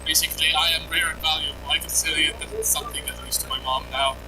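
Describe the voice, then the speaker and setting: A man's voice: a little high, steady tone, quick pace, background noise. A man whose first language is Estonian, joking and explaining things in casual voice chat with gaming friends.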